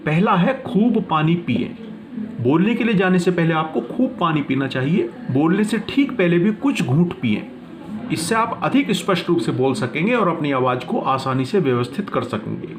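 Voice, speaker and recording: A man's voice: a little high, lively intonation, moderate pace. A man teaching a lesson from notes, reading out points one by one and explaining them in an instructional way. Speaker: teacher presenting class notes.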